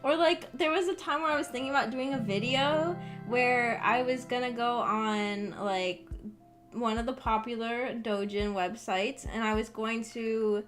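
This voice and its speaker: A woman's voice: on the high side, a little slow, fairly bright tone. A young woman chatting casually as a VTuber on a livestream, rambling in a giggly, hesitant way. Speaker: VTuber streamer. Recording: background music behind voice. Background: music.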